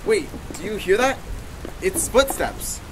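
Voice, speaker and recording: A man's voice: high-pitched, big pitch swings, slow, background noise, exaggerated voice. A man playing a scheming, falsely reassuring husband in a radio play.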